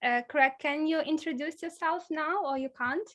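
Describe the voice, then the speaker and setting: A woman's voice: sits high, normal speed, a bit bright, slight background noise. A woman with a Ukrainian accent, a club president introducing guests at an online meeting and laughing often between names. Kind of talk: casual introductions.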